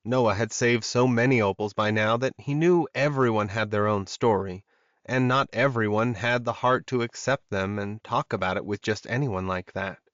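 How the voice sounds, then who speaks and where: A man's voice: fairly low pitch, moderate pace, a bit bright, no room sound, lo-fi sound. A man reading scripted fiction as an audiobook narrator.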